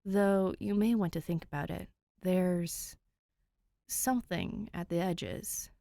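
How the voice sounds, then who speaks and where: A woman's voice: lowish voice, slow pace, gravelly, warm tone, no echo, clean studio sound. A woman voicing a dead-tired, wry teenage boy vigilante who drags his words in a storybook reading. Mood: weary, gentle. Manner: weary, dragging words.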